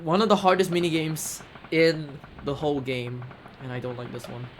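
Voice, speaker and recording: A man giving casual live commentary on a video game he is playing, breaking into excitable exclamations. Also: a little high, moderate pace, slight background noise. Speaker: speedrunner commentating on own game. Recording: game music behind the voice. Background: video game music.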